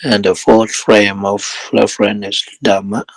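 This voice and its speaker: A man leading a guided meditation as a teacher, speaking calmly in short, measured phrases with long pauses between them. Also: lowish voice, gravelly, a little hiss or hum, poor audio.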